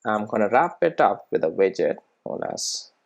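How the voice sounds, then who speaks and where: Coding tutorial presenter, medium pitch, informal, thinks aloud, frequent check-in questions. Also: very animated, moderate pace, gravelly. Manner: checks in with rhetorical questions and tag "right?"; thinks aloud while typing code. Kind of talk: informal screen-recorded programming tutorial.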